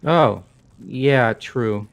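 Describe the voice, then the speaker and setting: A man's voice: mid-range voice, very slow, vocal fry. A man voicing a casual, plain-spoken young male student in fan-made visual novel game dialogue. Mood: conceding. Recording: music behind the voice.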